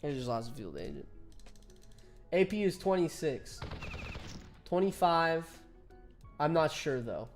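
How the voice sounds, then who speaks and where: A man's voice: fairly high pitch, very animated, unhurried, pauses often, soft background music. A man in his twenties chatting casually with viewers while streaming a game, laughing often and reading chat aloud. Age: 20s.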